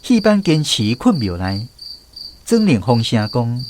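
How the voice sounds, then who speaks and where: A man's voice: mid-range voice, huge pitch swings, unhurried, a little mellow, a little hiss or hum. A man with a Taiwanese accent giving scripted storytelling narration for a radio drama.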